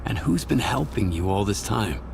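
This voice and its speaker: A man's voice: fairly low pitch, expressive, moderate pace, creaky, background noise. A man playing a wary, questioning mercenary in a video game, terse and probing. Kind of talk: scripted video game cutscene acting.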